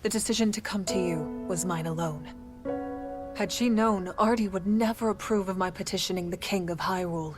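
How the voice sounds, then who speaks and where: A woman's voice: mid-range voice, brisk, music in the background, natural voice. A woman playing a proud, loyal desert warrior in a video game cutscene, earnest and formal. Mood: proud, solemn.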